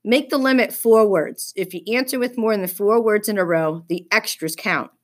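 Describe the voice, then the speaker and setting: A woman's voice: mid-range voice, moderate pace, close to an everyday voice. A woman reading a children's story, voicing a stubborn, indignant fifth-grade girl who is snippy and haughty.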